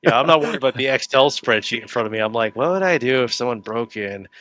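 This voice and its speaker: A man's voice: mid-range voice, animated, fast talker, fairly bright tone, no echo. A man in casual podcast banter, telling anecdotes in a joking way and acting out the quoted lines.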